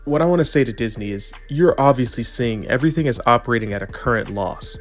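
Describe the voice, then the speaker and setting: Guy with allergies, kinda nasal and stuffed up, rambling opinions, soft music behind. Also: medium pitch, lively intonation, fast talker. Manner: earnest, rambling with restarts. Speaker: video commentator. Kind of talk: opinionated casual commentary.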